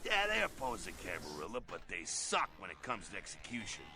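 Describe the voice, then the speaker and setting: Brash streetwise rebel vampire, animated. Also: male voice, low-pitched, a little fast, music in the background, heavily acted. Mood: dismissive, cynical. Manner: slangy, swaggering, streetwise delivery. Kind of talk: video game character dialogue.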